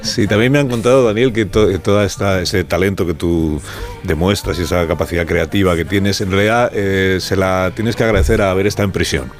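Low-voiced male radio host. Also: steady tone, brisk, soft background music. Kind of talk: radio interview.

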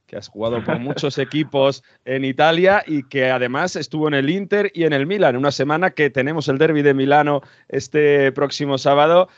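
A man's voice: on the high side, a little fast, hardly any pauses, dry recording, lo-fi sound. A man co-hosting a sports show, talking through football analysis in a conversational way.

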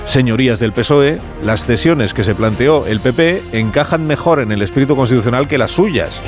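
Male radio host, Castilian accent. Wry, chatty press review delivered over a clear music bed. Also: medium pitch, expressive, moderate pace. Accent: Castilian Spanish. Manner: conversational, wry asides and rhetorical questions. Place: radio studio. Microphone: studio broadcast microphone.

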